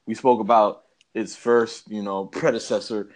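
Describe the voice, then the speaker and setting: Low-voiced man in a slangy rant. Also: fairly even intonation, moderate pace. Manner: emphatic, slangy, repetitive. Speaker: podcast co-host. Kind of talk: casual chat ranting about films.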